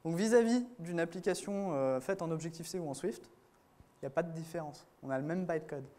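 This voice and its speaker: A man's voice: fairly high pitch, brisk, mellow. Casual man giving a tech talk. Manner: casual, lots of fillers and restarts. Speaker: conference speaker. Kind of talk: technical conference talk. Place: conference room with audience.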